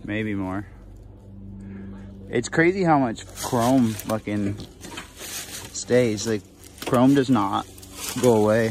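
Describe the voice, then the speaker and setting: A man's voice: fairly low pitch, slow, long pauses, a little mellow. A man giving casual, sweary off-the-cuff commentary while exploring outdoors. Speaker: urban explorer filming abandoned cars. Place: outdoors in woods beside railroad tracks. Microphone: handheld camera. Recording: noisy outdoor handheld camera recording.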